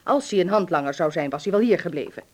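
A middle-aged mother in a wartime radio drama. Her voice sits fairly low and carries strict authority mixed with distress, moving between hushed dismay and sharp scolding. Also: expressive, fast talker. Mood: indignant, stern. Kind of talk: radio play acting.

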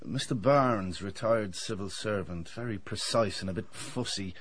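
An actor playing a flippant, sardonic dentist with a roguish streak in a radio drama. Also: male voice, on the low side, normal speed, husky. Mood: wry, teasing. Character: wry, roguish, hard-drinking male dentist.

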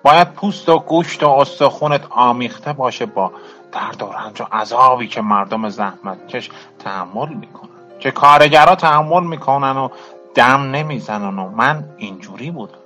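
A man's voice: mid-range voice, expressive, bright, forceful tone, dull audio, faint music behind. An actor playing a weary, bitter former activist and ex-prisoner, telling his story colloquially and reflectively in a radio drama. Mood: wry, world-weary. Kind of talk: Persian radio drama monologue.

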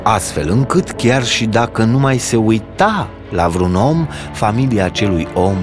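A man reading a folk tale aloud as a storyteller, in an expressive, dramatic storybook style. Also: lowish voice, loud music behind the voice.

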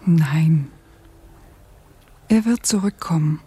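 A woman in a radio play acting a grave, tender but disillusioned revolutionary in a hushed, breathy voice. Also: low voice, takes it slowly, full character voice. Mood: anxious, tender.

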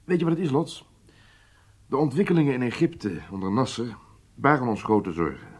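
An actor playing a deliberate, authoritative secret service chief in a radio drama. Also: male voice, medium pitch, big pitch swings, a little mellow, breathy edge. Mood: grave, confiding.

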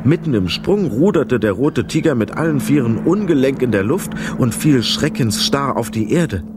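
A man narrating a children's radio play as a scripted story, with expressive, dramatic delivery. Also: mid-range voice, normal speed, heavy background noise.

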